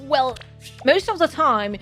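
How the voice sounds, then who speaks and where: Slow-talking French-accented woman streamer. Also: high voice, expressive, fairly bright tone, soft background music. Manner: musing, rambling, self-correcting. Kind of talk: casual gaming livestream chat.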